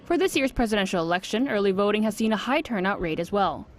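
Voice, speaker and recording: A woman reporter narrates a scripted news package. Her voice sits at a medium pitch. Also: expressive, quick pace, slight background noise.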